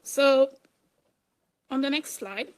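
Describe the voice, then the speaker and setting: Middle-aged swedish lady giving a conference talk, accent, fairly high voice, plain-spoken and informal. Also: takes it slowly. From Sweden. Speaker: conference speaker, computer engineer. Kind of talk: conference presentation with slides.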